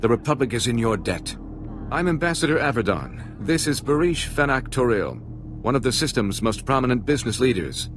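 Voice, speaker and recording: An actor playing a formal, earnest and worried ambassador in a video game. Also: male voice, mid-range voice, big pitch swings, a little fast, over music, lightly acted. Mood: courteous. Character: troubled, formal male ambassador. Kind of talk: video game character dialogue.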